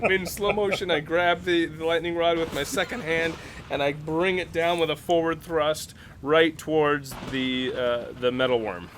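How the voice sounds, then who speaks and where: A man playing a tabletop role-playing game in casual banter, excitable and playful, narrating his character's moves with dramatic flair. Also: on the high side, normal speed, crowd noise. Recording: noticeable background noise.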